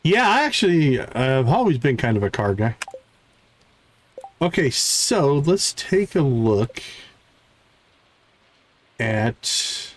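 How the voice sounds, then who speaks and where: Animated man livestreaming a game. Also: medium pitch, takes it slowly, pauses often. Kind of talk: casual live gameplay commentary.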